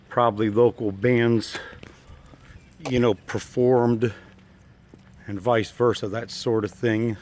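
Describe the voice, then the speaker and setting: A man with a slightly froggy voice from allergies, giving halting, musing, folksy commentary as he walks around exploring with a handheld camera. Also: mid-range voice. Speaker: urban exploration video maker. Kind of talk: casual unscripted walk-through commentary. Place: walking around an abandoned park site.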